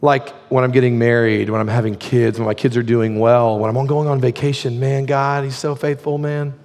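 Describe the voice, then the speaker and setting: A male pastor preaches in a relaxed, conversational way, leaning on "like" as he talks. His voice is hoarse and gravelly and sits at an ordinary pitch. The sound carries a long reverb from a large hall. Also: brisk. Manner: conversational, frequent "like" fillers. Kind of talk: sermon.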